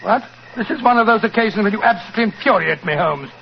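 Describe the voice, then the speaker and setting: An actor playing a loyal, easily baffled British gentleman in a blustering 1940s radio drama style. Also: sits high, animated, quick pace, vocal fry. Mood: indignant. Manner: blustering, indignant. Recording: muffled, noisy 1940s radio recording.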